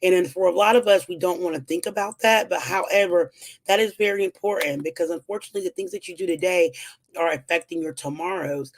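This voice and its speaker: A woman's voice: fairly low pitch, animated, fast, gravelly, slight background noise. A young woman with a strained, recovering voice, chatting casually as she teaches personal finance at a whiteboard, with lots of "um"s and upbeat encouragement. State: voice strained after losing it. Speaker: financial educator hosting a weekly livestream.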